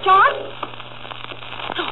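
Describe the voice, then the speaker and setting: An actress playing a frantic, frightened woman in an old radio drama, breathless and overwrought, repeating short phrases. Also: very slow. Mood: tense fear. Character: terrified, frantic woman on the run from police. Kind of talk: 1940s radio drama acting. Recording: scratchy, crackling, tinny old radio recording.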